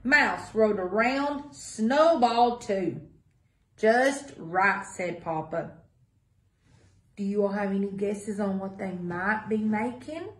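A middle-aged woman reading a picture book aloud to young children in a deliberate sing-song way. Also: medium pitch. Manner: sing-song, deliberate, asks listeners questions. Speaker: library story time reader. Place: small indoor room with block walls. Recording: echoey small room.

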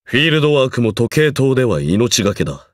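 A man's voice: mid-range voice, big pitch swings, fast talker, no echo, close to an everyday voice. Game role: stern male magecraft lecturer played by a man, formal, condescending, grudgingly encouraging, very varied intonation, dry close studio sound. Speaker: voice actor in a mobile game. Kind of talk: scripted game character lines.

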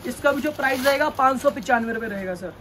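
A young man, the owner of a clothing shop, making a sales pitch and insistently repeating reassurances to the camera inside his large store. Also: quick pace, fairly bright tone, a little hiss or hum.

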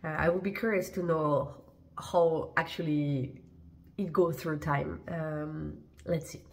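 A woman's voice: low voice, fairly even intonation, slow pace, creaky. Chatty woman with a stuffy cold voice. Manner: chatty and hesitant, many fillers and restarts. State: congested, blocked voice from a cold. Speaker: fashion vlogger. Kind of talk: casual chat to camera. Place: living room at home, indoors.

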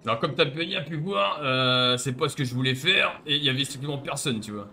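A man's voice: medium pitch, fairly quick, gravelly, sharp tone, slight background noise. A young man streaming a game, bantering casually in a crude, argumentative way over a headset. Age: young adult.

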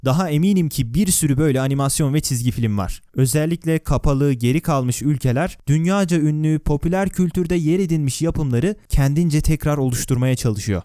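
Crisp, dry-sounding wry male narrator. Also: on the high side, a little fast, hardly any pauses, warm tone, no room sound. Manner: wry, sarcastic, addresses viewers with questions. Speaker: video host, narrator. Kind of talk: scripted video narration with casual, conversational asides. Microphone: close, dry voice-over microphone.

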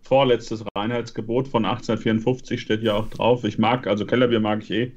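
Matter-of-fact man with a low voice. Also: level tone, a little fast, a little mellow. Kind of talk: casual chat. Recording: dull lo-fi call audio.

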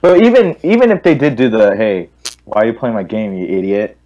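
A man's voice: on the low side, animated, brisk, warm tone, muffled recording. A man casually giving his opinion in a game discussion. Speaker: gaming stream panelist.

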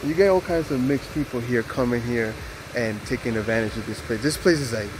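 A man's voice: mid-range voice, a little fast, background noise. A man in his forties, raised in the United States, talking casually to a handheld camera outdoors as a travel vlogger, full of fillers and restarts. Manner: rambling, filler-heavy, friendly aside-style talk. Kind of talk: casual vlog narration to camera. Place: outdoors at a rainforest park.

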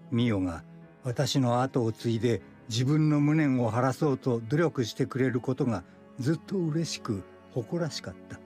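A man's voice: medium pitch, mellow, lightly acted. Anime grandfather, male actor: elderly ailing scholar, tender and remorseful, formal letter narration, music underneath. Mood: solemn, tender. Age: older. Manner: solemn, measured, formal.

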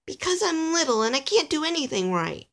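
A woman's voice: on the high side, big pitch swings, a little slow, fairly bright tone, breathy edge, pitched above the performer's own voice, exaggerated voice. A woman voicing a small, timid young male elf in a Christmas storybook, speaking in a fretful, earnest way. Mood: frightened, pleading.

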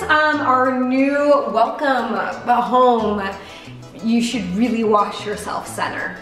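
A young woman giving a joking tour of her own home, self-mocking and deadpan, shifting between bright and flat delivery. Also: fairly high pitch. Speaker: vlogger. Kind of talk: comedic home tour vlog. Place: apartment, indoors. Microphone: camera mic, handheld and at distance. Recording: roomy apartment echo with music behind.

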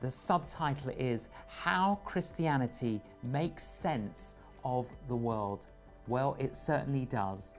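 A man's voice: medium pitch, slow pace, faint music behind. A middle-aged man hosting a studio interview, encouraging and upbeat. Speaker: host. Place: TV studio set, indoors.

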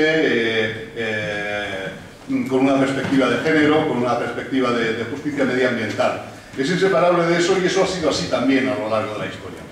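A man in his fifties, a Catalan native, giving a measured talk as a guest speaker at a panel table, with many pauses and restarts. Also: medium pitch, a little hiss or hum. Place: room with large windows, panel table. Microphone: desk mic on stand, filmed from across room. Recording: echoey room from a distance.

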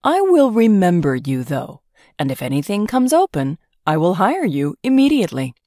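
Vrouw speelt een luide, gewichtige keizer. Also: female voice, lowish voice, huge pitch swings, moderate pace, warm tone, dry recording, studio quality. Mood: businesslike. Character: self-important but obliging male emperor at his court.

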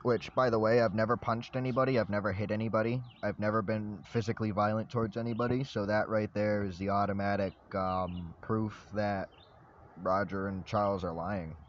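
Loud ranting young man, low voice. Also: fairly even intonation, fairly quick, dull audio, slight background noise. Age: young adult. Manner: loud, agitated, rambling rant. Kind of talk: ranting selfie vlog. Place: inside a parked vehicle. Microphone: phone at arm's length.

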